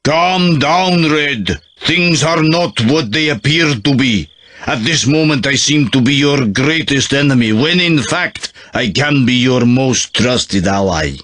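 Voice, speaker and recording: An actor playing a calm, secretive older male colonel who speaks in a measured, reassuring way. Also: medium pitch.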